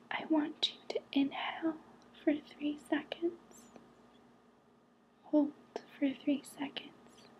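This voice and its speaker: A woman leads an ASMR relaxation in a close, breathy whisper. Her pitch sits high and her pace is very unhurried. She sounds gentle and reassuring throughout, as if guiding one listener. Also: highs cut off. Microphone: close to the mic.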